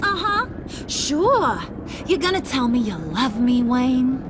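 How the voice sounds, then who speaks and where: A volatile criminal woman in a video game, slow and taunting, with a high New voice. She is brassy and theatrical. A clear rumble runs behind her. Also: very animated, exaggerated voice. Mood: cocky, menacing. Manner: sassy, slangy, theatrical taunting. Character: volatile, brassy female criminal doctor, cocky and menacing.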